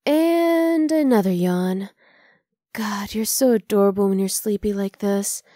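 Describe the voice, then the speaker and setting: A woman's voice: medium pitch, measured pace, warm tone, no echo, natural voice. Close, dry, teasing half-dragon girlfriend voiced by a woman. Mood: affectionate. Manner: casual, coaxing, affectionate teasing. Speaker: ASMR roleplay voice actor. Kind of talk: ASMR roleplay acting.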